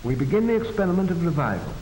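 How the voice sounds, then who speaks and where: A man reading formal, measured, solemn narration for a 1940s scientific documentary, on an old film soundtrack. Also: a little high, big pitch swings, warm tone, reverberant, in a large hall, slight background noise, poor audio.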